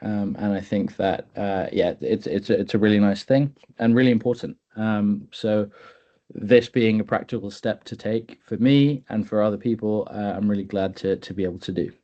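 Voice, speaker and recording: Young guy on a video call, low mellow voice, thoughtful and halting, kinda muffled audio. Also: steady tone. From England. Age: 20s. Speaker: panelist. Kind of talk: personal talk in a webinar.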